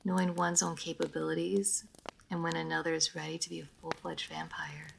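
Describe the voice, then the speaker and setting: A woman playing a refined, reserved vampire noblewoman in a roleplay audio drama, speaking formally with measured diction, hesitant pauses and dry playfulness. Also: sits low, lively intonation, vocal fry, a little hiss or hum, full character voice. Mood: wistful, reverent. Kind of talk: roleplay audio drama, intimate monologue to listener.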